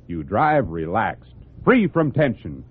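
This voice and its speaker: A man's voice: on the low side, big pitch swings, slow pace, slightly rough. A man with an American accent and a scripted 1950s radio advertising delivery for a car commercial. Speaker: commercial announcer. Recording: dull vintage radio, music behind.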